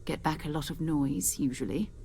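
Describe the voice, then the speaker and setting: Space captain for a game: lower register, composed authority, principled and earnest delivery. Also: female voice, normal speed, vocal fry, warm tone, slight background noise. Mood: earnest, intrigued. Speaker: expedition leader. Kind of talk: video game character dialogue.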